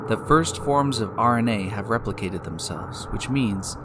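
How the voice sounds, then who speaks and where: A man hosting and narrating a scripted science story in a deliberate, explanatory way, speaking directly to the listener. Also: lowish voice, noisy background. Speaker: podcast host and narrator. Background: music.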